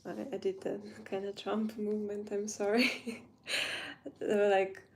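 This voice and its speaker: Slow-talking Ukrainian-accented young woman. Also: medium pitch, level tone, slight background noise. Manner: halting, searching for English words. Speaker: livestreamer. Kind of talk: casual livestream chat while doing makeup.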